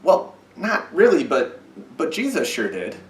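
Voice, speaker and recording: A man's voice: on the high side, wildly swooping pitch, unhurried, echoey room, distant mic. A man leading a children's message in church, patiently explaining in a sing-song way for kids. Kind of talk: children's sermon with puppet. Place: church sanctuary.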